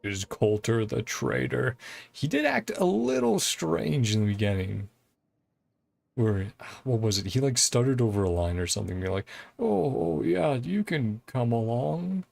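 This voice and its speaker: Gravelly male game streamer thinking aloud. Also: mid-range voice, big pitch swings, a little slow, a little mellow. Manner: rambling, hesitant thinking aloud. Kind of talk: casual game playthrough commentary, reading dialogue aloud.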